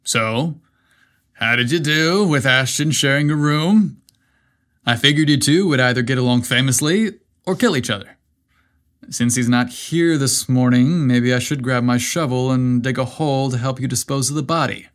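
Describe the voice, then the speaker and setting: A man's voice: mid-range voice, moderate pace, a bit bright, close to an everyday voice. A man voicing an affectionate, mischievous young groom with casual dude talk in a narrated story. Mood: teasing.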